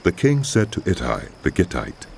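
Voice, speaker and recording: A man reading scripted narration for a dramatized scripture recording, with a deep, gravelly voice. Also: wildly swooping pitch, normal speed, darkish tone, a little hiss or hum.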